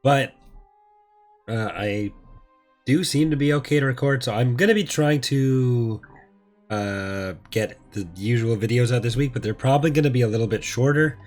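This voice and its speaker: A man giving casual, rambling, low-key gameplay commentary, his voice still recovering after being mostly lost. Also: normal speed, darkish tone, soft background music. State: recovering from voice loss. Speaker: host of a gaming playthrough series.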